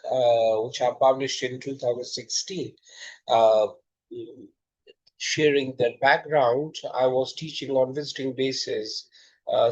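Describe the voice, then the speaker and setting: A man's voice: medium pitch, a little mellow, muffled recording. A middle-aged man with a Pakistani accent, giving a slide-based lecture that introduces his textbook, with frequent hesitant fillers.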